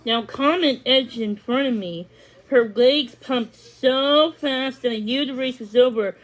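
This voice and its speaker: A woman's voice: high-pitched, unhurried, low-quality recording. A woman narrating a scripted children's story as a read-along.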